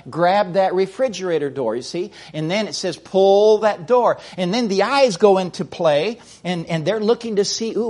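Middle-aged American man preaching, high-pitched and husky, folksy delivery with frequent restarts. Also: a little mellow. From United States. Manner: folksy, repeats words and starts over. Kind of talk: sermon.

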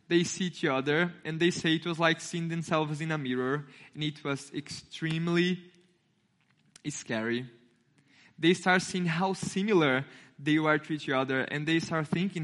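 A man's voice: a little high, sharp tone. A man in his twenties from Brazil with a Brazilian Portuguese accent, a native Portuguese speaker, giving a friendly sermon and acting out the voices in his story. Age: 20s. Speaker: intern and youth speaker.

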